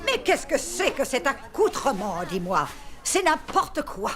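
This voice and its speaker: A woman's voice: high voice, big pitch swings, measured pace, over loud music. A woman playing a stern, exasperated schoolteacher in a cartoon, scolding and commanding with emphasis. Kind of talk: French animated cartoon voice acting.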